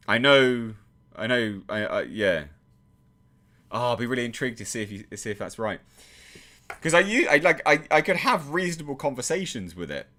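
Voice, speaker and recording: A man's voice: mid-range voice, bright, forceful tone. A man from England, host of his own channel, chatting casually on a livestream and reading chat, self-deprecating and full of restarts.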